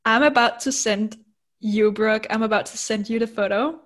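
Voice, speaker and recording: A woman's voice: fairly high pitch, moderate pace, fairly bright tone. A woman in her twenties with a Danish accent, co-hosting a podcast and chatting casually over a phone call, giggly and a little self-conscious. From Denmark. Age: 20s. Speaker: podcast co-host. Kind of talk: casual chat.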